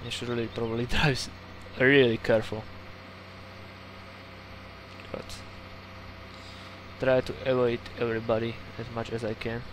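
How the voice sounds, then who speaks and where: A man's voice: medium pitch, flat delivery, moderate pace, long pauses, rough recording. Casual Slovak-accented man chatting over gameplay. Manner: chatty, rambling, with laughs and mild swearing. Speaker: gaming YouTuber. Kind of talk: casual let's-play commentary. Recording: game sounds and engine noise behind.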